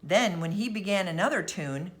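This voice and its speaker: A woman reading a bedtime story aloud as narrator, expressive and deliberate. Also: lowish voice.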